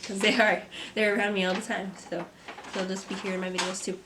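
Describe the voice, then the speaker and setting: A young woman, a mother and artist, talking to camera from a script in a soft, reflective vlog; she sounds stuffy and congested. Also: medium pitch, flat delivery, brisk, background noise. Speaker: vlogger, artist. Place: home. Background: kids playing.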